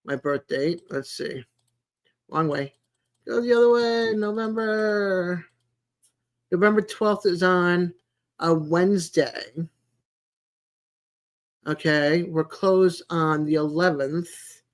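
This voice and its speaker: A woman in her sixties chatting casually as a live stream host, in a rambling, chatty way with frequent pauses. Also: lowish voice. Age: 60s. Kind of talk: casual chat with live viewers.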